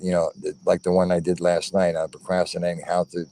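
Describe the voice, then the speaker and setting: A man with a notably low voice speaks into a phone as a guest on a livestream chat. He is earnest and keeps circling back to the same points, stopping and restarting his sentences. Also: level tone, fast talker, warm tone, dry recording. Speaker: guest, fellow show host.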